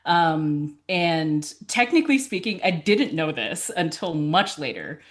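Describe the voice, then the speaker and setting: A woman's voice: low voice, very animated, measured pace. Laughing young woman telling stories. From California. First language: Tagalog. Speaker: podcast guest, college advisor. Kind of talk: casual interview chat.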